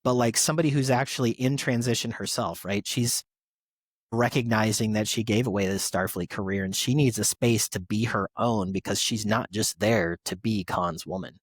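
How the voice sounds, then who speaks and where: A man's voice: on the low side, moderate pace, breathy edge, no echo, clean studio sound. A man chatting casually and enthusiastically as a podcast panelist. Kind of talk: casual chat.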